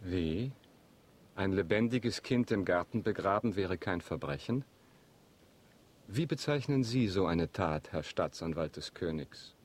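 Suave, husky, low-voiced count. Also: male voice, lively intonation, unhurried, a little mellow. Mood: pointed, accusing. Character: suave, insinuating male count hosting guests, coolly accusing. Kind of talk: German radio drama acting.

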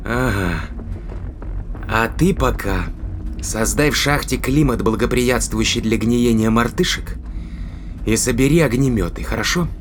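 A man's voice: mid-range voice, unhurried, slight background noise, lightly acted. Commanding, inquisitive spaceship captain, played by a man. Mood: pensive, suspicious. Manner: commanding, questioning, thinking aloud. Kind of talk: science fiction audio drama acting.

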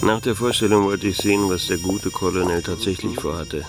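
A man's voice: low voice, steady tone, brisk. A man playing a wry, hard-boiled private detective in a noir radio play, with a dry, sardonic delivery. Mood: brooding narration. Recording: music under the voice. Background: music.

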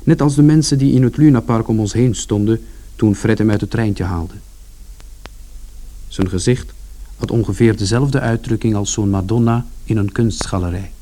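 A man's voice: fairly low pitch, unhurried, long pauses, dark tone, lightly acted. An actor playing a reflective young man recounting events conversationally in a radio play.

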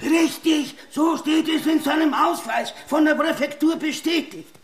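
An actor playing a showy stage hypnotist in a German radio drama, announcing with theatrical emphasis to an audience. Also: male voice, very high voice, measured pace. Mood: showy, commanding.

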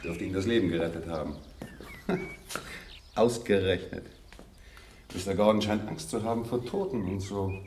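An actor playing a polite, chatty police sergeant in a radio crime play, matter-of-fact and folksy. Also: male voice, low voice, moderate pace, long pauses, a little mellow, echoey room, recorded from a distance, slight background noise, natural voice. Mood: polite, unhurried. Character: unsuspecting, chatty male village-call police sergeant.

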